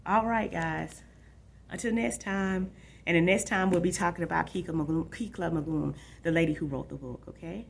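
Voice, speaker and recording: A woman's voice: lowish voice, normal speed, vocal fry, slight background noise. A woman talking casually to young viewers as a librarian, informal and conversational, often repeating her phrases. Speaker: librarian presenting a book for tweens.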